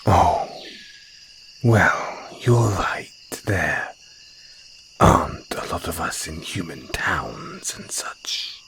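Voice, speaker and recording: A man acting a territorial male naga in an intimate audio roleplay, speaking in a hushed, deliberate, breathy voice with long pauses. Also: low voice, expressive, whispered, full character voice. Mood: wary, questioning. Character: wary, territorial male naga, softening into flirtatious care. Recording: jungle insect ambience behind voice. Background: crickets and jungle ambience.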